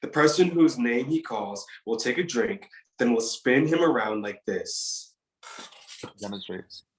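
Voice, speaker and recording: A man's voice: mid-range voice, expressive, measured pace, a little hiss or hum, rough recording. A man reading stage directions and coaching actors at a table read.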